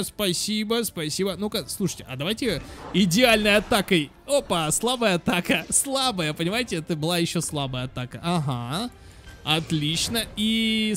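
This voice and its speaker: A man's voice: high-pitched, fairly bright tone, a little hiss or hum. A young man giving casual, exclamatory game commentary for children, chatting to viewers as friends. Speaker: gaming channel host.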